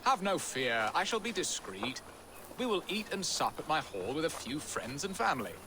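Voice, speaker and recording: Carefree medieval saxon noble in a game, super lively up and down pitch, flowery theatrical talk, noisy bg. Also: male voice, moderate pace. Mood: jovial, scheming. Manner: florid, flamboyant phrasing. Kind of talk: dramatic video game cutscene acting.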